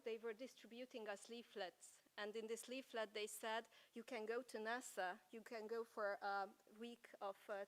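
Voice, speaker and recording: A Hungarian-accented woman in her forties giving a keynote talk at a conference, telling her story with rhetorical questions. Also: medium pitch. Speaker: keynote speaker. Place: conference hall.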